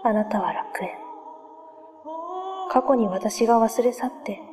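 A woman reciting poetic narration in a slow, wistful, measured way. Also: a little high, a crowd in the background. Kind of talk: poetic spoken narration over music. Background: music.

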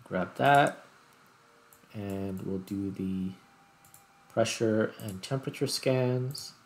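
A man's voice: lowish voice, takes it slowly. A man giving casual gameplay commentary, thinking aloud as he plays. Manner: thinks aloud while playing. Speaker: gaming video host.

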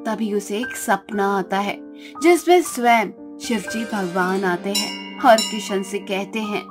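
A woman's voice: medium pitch, slow pace, over music. A woman narrating a scripted story as storyteller.

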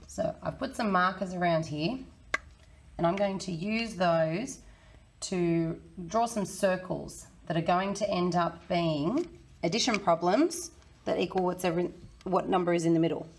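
A woman in her thirties with a croaky, hoarse voice, teaching a children's maths lesson in a friendly, encouraging way. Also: fairly low pitch, unhurried, a little hiss or hum. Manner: friendly, encouraging, talks to kids. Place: small indoor room.

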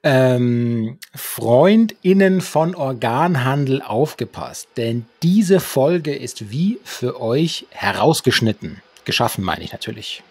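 A man's voice: mid-range voice, expressive, slow, hardly any pauses, darkish tone, husky. A man co-hosting a podcast conversationally, with wordy, mock-formal flourishes, close to the microphone. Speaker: podcast co-host. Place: small home room with bookshelves. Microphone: close desktop microphone with headphones.

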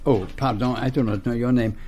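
Man acting a fastidious Belgian detective, French-Belgian accent, mid-pitched, formal, courteous, probing, radio drama. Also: fairly even intonation, darkish tone, slight background noise, natural voice. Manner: formal, precise, inquisitive.

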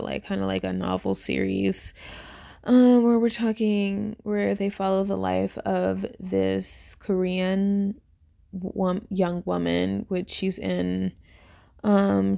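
A woman in her twenties giving a casual, unscripted review in a rambling, informal way, with lots of fillers and heavy vocal fry. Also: mid-range voice, darkish tone. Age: 20s. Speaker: YouTuber reviewing a webtoon. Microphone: voice-over on a phone screen recording.

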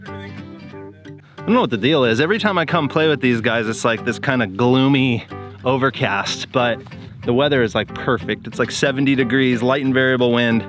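A man's voice: mid-range voice, moderate pace, over music. Young American man vlogging casually. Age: young adult. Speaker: vlog host and pilot. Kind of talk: casual vlog talk to camera. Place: outdoors on open fields and airfield, at times in a small plane cockpit.

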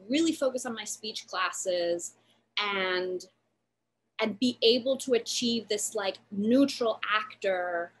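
A woman's voice: on the high side, slight background noise, poor audio. A woman in her thirties from Puerto Rico, giving a lecture over a video call from a room at home, talking thoughtfully with lots of "like" fillers. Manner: thoughtful, gestures a lot, many "like" fillers. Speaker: artist lecturer. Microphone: laptop webcam, video call.

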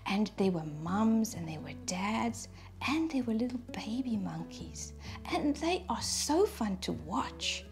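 A middle-aged woman from South Africa with a South African accent, gently telling young children about her home country in a preschool lesson. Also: a little high, big pitch swings, faint music behind. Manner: gentle, slow and clear, speaking to small children. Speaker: preschool staff guest presenter. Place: indoor studio set at a desk.

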